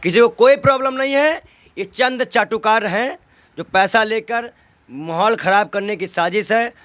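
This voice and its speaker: A man's voice: high voice, normal speed, fairly bright tone, no room sound. A man in a heated political interview, arguing emphatically with rhetorical questions and repeated phrases. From North India.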